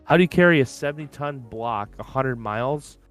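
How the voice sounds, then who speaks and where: A man co-hosting a relaxed online podcast, rambling through his thoughts in a mid-range voice. He leans on "like" and "you know" constantly. Faint music plays under the talk. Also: mid-range voice, brisk. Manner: rambling, heavy use of "like". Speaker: podcast co-host. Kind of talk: casual chat. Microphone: open-ear headphones and desk mic.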